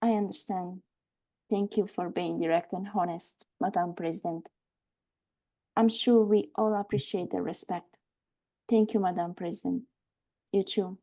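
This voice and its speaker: An actress playing an earnest, frank but uneasy warehouse worker in a live screenplay table read. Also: female voice, fairly low pitch, fairly even intonation, a little slow, pauses often, mellow. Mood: grave.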